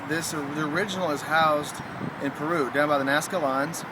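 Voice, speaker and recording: A man's voice: on the high side, fairly even intonation, moderate pace. A man casually presenting an item to camera in unscripted talk. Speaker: website owner presenting an item. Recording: very noisy, traffic-like outdoor ambience.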